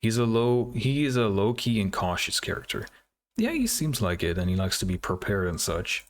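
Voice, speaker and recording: A man's voice: fairly low pitch, sing-song, normal speed. A man hosting a casual reaction video, thinking aloud in hesitant fragments. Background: game trailer music.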